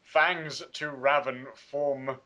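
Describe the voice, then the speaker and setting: A man's voice: medium pitch, fairly even intonation, slow pace, bright, forceful tone, muffled recording. A man doing a dramatic read-aloud in a game among friends, stumbling over words as he goes. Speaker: player in a dramatic reading game. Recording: faint noise and clicks.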